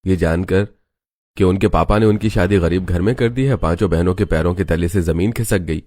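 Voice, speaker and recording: A man's voice: sits low, normal speed, a little mellow. A man reading scripted moral-story narration as a storyteller.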